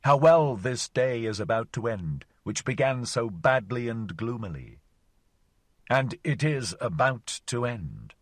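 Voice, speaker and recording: A calm, proud solitary sage, voiced by a man in an audiobook. He speaks in measured, reflective archaic phrases, and the voice is husky with a lively pitch. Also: no room sound.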